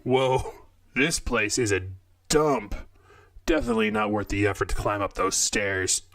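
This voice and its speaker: A man playing a blunt, bulky robot coffee maker in an audio drama, grumbling with deadpan sarcasm. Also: fairly low pitch, a little slow, vocal fry, full character voice.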